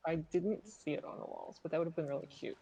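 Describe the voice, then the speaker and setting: Casting: easygoing woman gaming co-host, slightly low voice, a little off-mic. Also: quick pace. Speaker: stream co-host. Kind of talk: casual chat over a game. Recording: distant mic, faint game music.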